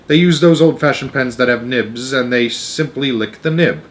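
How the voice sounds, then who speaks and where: A man's voice: mid-range voice, normal speed, close to an everyday voice. A man voicing a grave, knowing old grandmother in a storybook, explaining grim lore emphatically and matter-of-factly. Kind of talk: storybook read-aloud character.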